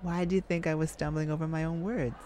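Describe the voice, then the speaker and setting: A woman's voice: low-pitched, fairly even intonation, quick pace, warm tone, slight background noise, pitched below the performer's own voice, lightly acted. A woman voicing a bashful, flirty male lounge singer in a coy, intimate ASMR roleplay. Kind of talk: ASMR roleplay, intimate addressed-to-listener acting.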